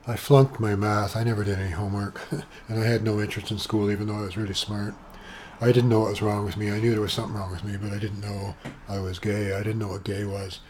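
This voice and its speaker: Soft-spoken old canadian guy, 70s, rambly and chatty, lowish flat voice, bit of hiss behind. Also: fast talker. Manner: chatty, eccentric, wandering with asides and fillers. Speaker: vlogger. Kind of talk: rambling personal monologue. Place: at home, sitting at his computer. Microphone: webcam with external sound gear.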